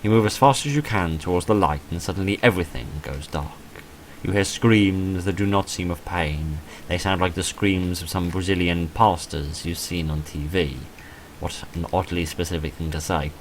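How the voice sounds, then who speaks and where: A man's voice: sits low, normal speed, rough recording. A man reading visual novel text aloud in a dramatic storytelling way, with dry, casual asides as he plays. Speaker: gamer narrating a playthrough. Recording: noticeable background noise.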